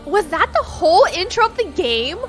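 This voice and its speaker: A woman streamer playing a game, reading its text aloud and chatting casually in an excitable, slangy way. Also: very high voice, moderate pace, soft background music.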